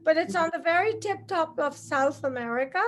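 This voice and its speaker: A woman's voice: very high-pitched, brisk, a bit bright, slight background noise. A woman from Guyana chatting casually as an interviewer, in a chatty, complimentary way.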